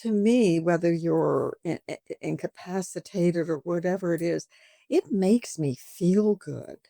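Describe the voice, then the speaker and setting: A woman's voice: on the low side, unhurried, gravelly, dark tone, dry recording, clean studio sound. A woman in her seventies, a boutique owner, chatting animatedly in an interview and acting out conversations with customers. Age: 70s.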